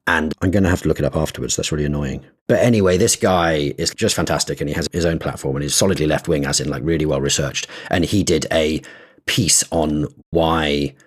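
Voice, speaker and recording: A man's voice: a bass voice, a little fast, slightly rough. A man co-hosting a casual podcast, explaining ideas at length while thinking aloud, with frequent restarts and small laughs. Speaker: podcast co-host.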